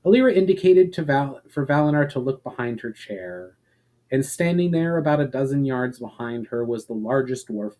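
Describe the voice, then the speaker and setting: A man giving a dramatic, deliberate storybook narration of a fantasy tale, as an author reading aloud. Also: mid-range voice, mellow, rough recording.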